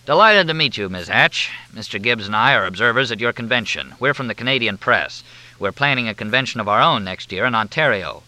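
A man's voice: medium pitch, animated, brisk, sharp tone, poor audio. A man voicing a large, grim male agent who speaks stiffly and overly formally. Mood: stiffly polite.

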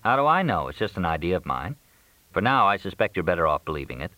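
A man's voice: deep voice, big pitch swings, fast, bright, forceful tone, no echo, full character voice, low-quality recording. A man voicing a tired, patient man with a dry, laconic manner in an audiobook. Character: tired, dryly patient man woken by late call. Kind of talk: audiobook character dialogue.

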